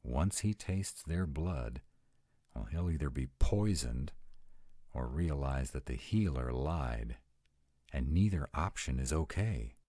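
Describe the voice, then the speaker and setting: Man acting a very deep-voiced sarcastic vampire. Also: takes it slowly, dry recording, clean studio sound, heavily acted. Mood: worried, intimate. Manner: sarcastic, expressive, conversational. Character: sarcastic young-at-heart male vampire, nearly two hundred, anxious. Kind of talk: intimate one-sided roleplay audio acting.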